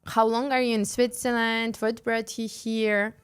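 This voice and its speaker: A woman's voice: a little high, flat delivery, measured pace, clear, resonant tone. A woman speaking as a podcast host in a casual, conversational interview style.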